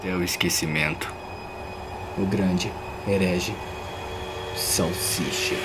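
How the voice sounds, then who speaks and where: A man's voice: low voice, fairly even intonation, slow pace, heavy background noise. A man with a Brazilian accent voicing a menacing, vengeful muscular dog villain, theatrical and foul-mouthed, in an over-the-top cartoon dub. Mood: smug. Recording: loud music behind voice.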